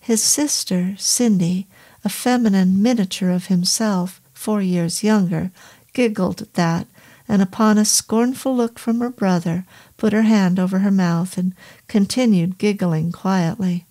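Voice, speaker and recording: Slow, measured female audiobook narrator. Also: mid-range voice. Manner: slow, measured, even reading. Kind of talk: scripted horror novel narration.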